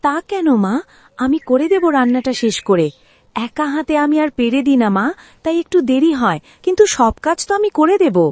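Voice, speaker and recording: Humble young cartoon heroine, played by a woman, gentle and patient, dryly recorded. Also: sits high, big pitch swings, fairly quick, no echo. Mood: meek, pleading. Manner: meek, patient, soothing. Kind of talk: Bengali cartoon dialogue.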